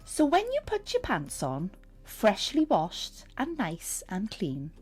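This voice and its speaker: A woman reading a picture book aloud to young children, expressive and speaking straight to her listeners. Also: medium pitch, takes it slowly, slightly rough. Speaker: storyteller and teacher reading to children. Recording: music under the voice. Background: music and sound effects.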